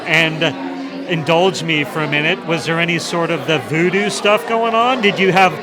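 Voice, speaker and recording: A man's voice: on the high side, normal speed, over music. A man hosting a talk show interview at a party, eager and chatty. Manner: eager, chatty, easily excited. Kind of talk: event interview chat. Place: busy indoor party. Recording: crowd noise of a busy party. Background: crowd chatter.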